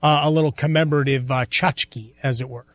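A man co-hosting a sports talk show, speaking casually with a measured, wry and slightly halting manner. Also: medium pitch, steady tone, fairly bright tone, dry recording. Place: dry studio.

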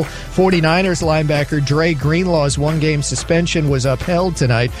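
A man reading the sports update on a talk radio show, rattling off scores and headlines with the odd dry aside. His voice sits a touch higher than average, and a faint music bed runs underneath. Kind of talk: sports news roundup on radio.

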